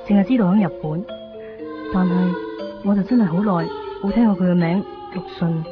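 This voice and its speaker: A woman's voice: lowish voice, normal speed, natural voice. A woman acting a wistful young Hong Kong woman in a Cantonese radio drama. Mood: wistful, yearning. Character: wistful young woman longing for a lost love. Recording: muffled 1990s radio recording, music behind.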